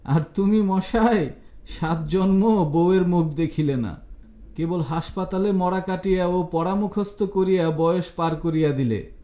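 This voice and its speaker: A playful, cheerful young woman, voiced by a male narrator in an audio story, scolding and teasing in a raised, high pitch. The voice is mellow and comes with a roomy echo. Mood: mock scolding. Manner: bantering, mock-scolding, rapid-fire reproaches.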